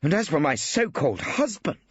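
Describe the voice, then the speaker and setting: A man's voice: a little high, sing-song, fairly quick, breathy edge, dry recording, dull audio, pitched above the performer's own voice. A man voicing a female barrister, cool and sarcastic, with clipped delivery. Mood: indignant. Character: crisp, icy female barrister.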